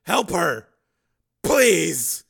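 A man voicing a desperate, protective cyborg father in a story reading, urgently shouting pleas and orders. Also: on the high side, very animated, very slow, gravelly, husky, pitched above the performer's own voice, exaggerated voice. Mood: urgent command.

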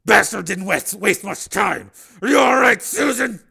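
A man voicing a bitter, wounded cyborg policeman in an audiobook, gruff, sarcastic and explosive. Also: on the high side, fast talker, voice pushed up, full character voice. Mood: urgent. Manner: harsh, sarcastic, forceful. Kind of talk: dramatic audiobook character acting.

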